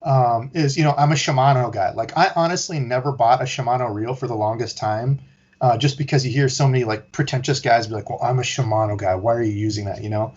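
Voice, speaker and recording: A man guesting on a podcast, laid-back and rambling with lots of "you know" and "like", with a husky voice over a call. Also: medium pitch, fast talker, darkish tone, highs cut off. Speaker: guest, fishing YouTuber. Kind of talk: casual interview chat.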